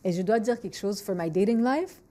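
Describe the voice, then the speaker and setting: Brief: woman, 40s, Montreal French accent, podcast host, candid and lively, slips into English mid-sentence, restarts when thinking. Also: medium pitch, a little fast. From Montreal, Canada. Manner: lively, switches freely between French and English, repeats words when thinking. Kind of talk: candid conversational storytelling.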